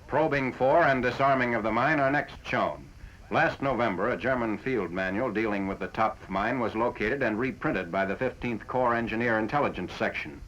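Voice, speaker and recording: A man reading a scripted 1940s military newsreel narration in a formal, factual, measured manner. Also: medium pitch, a bit bright. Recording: dull, noisy 1940s film soundtrack.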